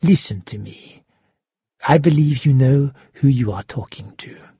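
A man voicing an angry, cold gunman in an audiobook, giving hard, clipped, often hushed threats. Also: medium pitch, animated, unhurried, husky, no room sound, clean studio sound, exaggerated voice. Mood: quiet threat. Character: menacing, angry male gunman, cold and commanding. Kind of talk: audiobook character voice.

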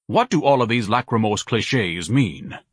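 A man's voice: mid-range voice, very animated, moderate pace, fairly bright tone, breathy edge, no echo, clean studio sound, voice pushed up. A man voicing a pompous, self-pitying grown son who speaks suspiciously.

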